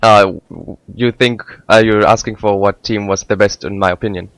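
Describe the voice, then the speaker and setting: A man's voice: lowish voice, steady tone, fairly bright tone, dry recording. Hesitant young man co-casting a game. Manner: hesitant, halting, many fillers. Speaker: esports co-caster. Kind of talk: casual game commentary.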